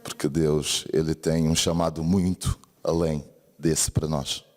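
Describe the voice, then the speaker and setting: A man's voice: very deep voice, flat delivery, measured pace, a little mellow. A man from Brazil with a Brazilian accent mixed with European Portuguese, preaching an earnest sermon and often asking the congregation for an amen. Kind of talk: church sermon. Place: church service hall. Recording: long reverb of a church hall.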